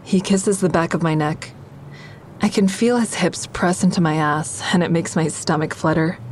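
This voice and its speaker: A woman's voice: fairly low pitch, fairly even intonation, normal speed, darkish tone, a little hiss or hum, close to an everyday voice. An actress playing a frank, sardonic young woman who narrates her own story in an audio drama in a confessional, wry way. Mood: intimate. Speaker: actor in a fiction podcast.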